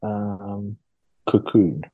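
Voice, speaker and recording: A man's voice: fairly low pitch, drawn-out words, narrow, tinny audio. A man co-hosting a comedy podcast, answering quiz questions with dry, wry quips. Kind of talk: casual comedic chat.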